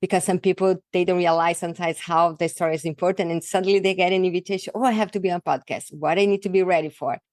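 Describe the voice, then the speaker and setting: A woman from Brazil with a thick Brazilian Portuguese accent and Portuguese as her first language, a guest in an interview, talking in a halting way and searching for words. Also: on the low side, steady tone, a little mellow, no echo, studio quality. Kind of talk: casual interview talk.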